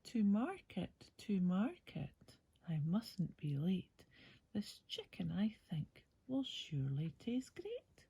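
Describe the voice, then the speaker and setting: A woman voicing a kindly, doting cat lady from a bedtime storybook, in a breathy, affectionate sing-song. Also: mid-range voice, huge pitch swings, slow pace, dark tone, no room sound, heavily acted. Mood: brisk, cheerful. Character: kindly, doting woman who lives with many cats. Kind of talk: bedtime storybook character reading.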